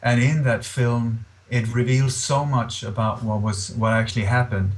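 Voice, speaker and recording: A middle-aged man from Scandinavia with a Scandinavian accent, speaking earnestly and haltingly as an interview guest. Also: medium pitch, steady tone, poor audio. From born in Denmark, grew up in Sweden.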